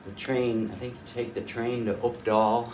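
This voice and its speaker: An older man, a folk fiddler, casually telling family stories to a small audience in a rambling, folksy way with many pauses and restarts. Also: medium pitch, flat delivery. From rural northwest North Dakota. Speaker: folk fiddler giving a talk. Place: room at a folk fiddlers' gathering. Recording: distant mic, faint room noise.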